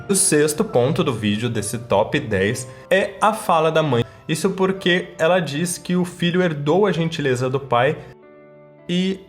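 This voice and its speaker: A Brazilian man with a medium-pitched voice presents fan commentary as a channel host. He explains point by point in a didactic, emphatic manner, speaking directly to viewers. Faint music plays behind his voice.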